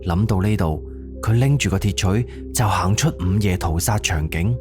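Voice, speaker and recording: A man's voice: low-pitched, moderate pace, music in the background. A man with a Cantonese accent narrating a scripted suspense horror audio drama.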